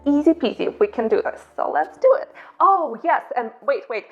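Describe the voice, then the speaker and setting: A chatty woman with a Ukrainian accent walks viewers through her cooking staples in a home kitchen. Her voice sits high, and the recording sounds slightly dull and lo-fi. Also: big pitch swings, normal speed. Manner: upbeat, chatty, slightly non-native phrasing. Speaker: cooking channel host. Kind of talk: casual cooking demonstration to camera.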